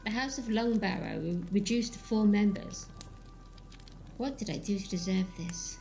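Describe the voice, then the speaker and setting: An actress playing a stern, disapproving elderly matriarch in an audio drama, severe and formal in manner. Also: female voice, mid-range voice, normal speed, vocal fry, mellow, soft background music, close to an everyday voice. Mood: scolding, mournful.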